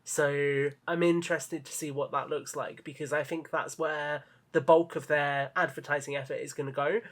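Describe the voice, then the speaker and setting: Male podcast panelist, slightly higher-pitched, casual and explanatory, thinking things through aloud. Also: steady tone, normal speed, fairly bright tone. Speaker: podcast panelist and article writer.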